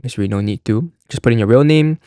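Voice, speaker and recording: Young man, casual podcast-style explainer, chatty with restarts, mid-pitched voice, dry close recording. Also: fast, warm tone, dry recording. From Singapore. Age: young adult. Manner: chatty, loose, often restarts and says "like". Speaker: college admissions podcast host. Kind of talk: casual explainer walking through a form.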